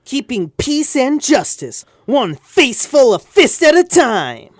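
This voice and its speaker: A man's voice: extremely high pitch, very animated, slow, no room sound, studio quality, full character voice. A man playing an eager, geeky thirteen-year-old boy, a comic book fanatic, in an audio drama. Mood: heroic imitation. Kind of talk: hobby audio drama acting.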